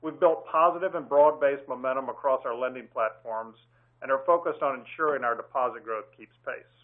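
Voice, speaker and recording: Bank executive, male, mid-pitched, measured and businesslike delivery, heard through a thin phone-line sound. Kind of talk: earnings call remarks.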